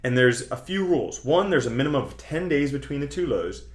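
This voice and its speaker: A man's voice: medium pitch, expressive, a little fast. A man hosting an online video, explaining things to viewers in a chatty, colloquial, emphatic way. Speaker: host of a cryptocurrency trading video channel. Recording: roomy, echoing sound.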